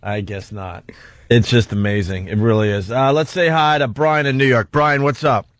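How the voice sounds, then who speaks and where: A male radio host with a middle-pitched voice chats casually and loosely, feeling his way through sentences. The studio sound is dry and close. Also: fairly even intonation, moderate pace, no room sound. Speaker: radio talk show host. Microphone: close studio mic.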